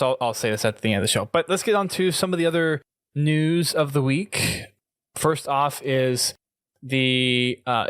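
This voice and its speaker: A man's voice: mid-range voice, fairly bright tone, clear, resonant tone, no room sound, clean studio sound. A man hosting a gaming podcast in a casual, conversational and enthusiastic way. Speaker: podcast host.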